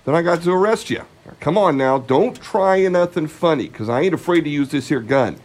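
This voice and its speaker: A man's voice: a little high, animated, fairly quick, darkish tone. A man acting in a radio play as a stern, folksy small-town policeman with a hesitant way of talking. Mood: threatening.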